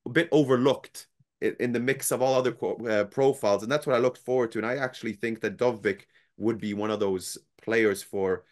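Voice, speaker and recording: A young man in his twenties co-hosting a casual football chat from home on a headset, talking in a measured, hedging way. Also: mid-range voice. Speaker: football podcast co-host. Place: home room with football shirts. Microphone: headset on video call.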